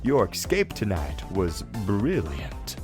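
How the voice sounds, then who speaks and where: Flamboyant drawling official, low swooping voice. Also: male voice, wildly swooping pitch, slow, music in the background, heavily acted. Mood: suave, theatrical. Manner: drawling, teasing, theatrical. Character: flamboyant, theatrical male police official, smoothly mocking and playful. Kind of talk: game fandub acting.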